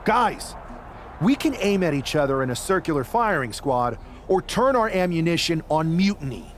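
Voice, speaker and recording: Resolute wrestler, slightly high. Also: male voice, big pitch swings, normal speed, slight background noise. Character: determined, level-headed male wrestler ally. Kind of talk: video game cutscene acting.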